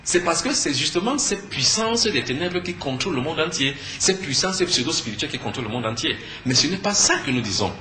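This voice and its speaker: Male spiritual teacher, Cameroonian French accent, forceful bright tone, didactic interview delivery, echoey room. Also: on the high side, lively intonation, a little fast, hardly any pauses. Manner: didactic, emphatic, asks and answers own questions. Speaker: spiritual teacher and conference speaker, interview guest. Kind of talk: earnest explanatory talk in a TV interview. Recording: echoey room with faint background noise.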